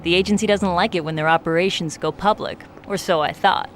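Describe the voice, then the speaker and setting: A woman's voice: lowish voice, fairly even intonation, a little fast, slight background noise, close to an everyday voice. An actress playing a wry, skeptical female contact who makes dry remarks in a game cutscene. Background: dramatic score.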